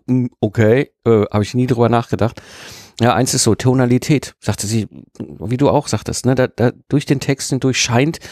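A man's voice: mid-range voice, darkish tone, no echo, clean studio sound. A man hosting a podcast, telling casual anecdotes in a chatty way with stumbling restarts. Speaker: podcast host.

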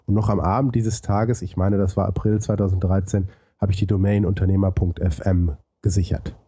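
A man's voice: low-pitched, level tone, brisk, mellow, husky, dry recording. A man with a lisp telling his story as a podcast interview guest, explaining point by point with frequent hesitations.

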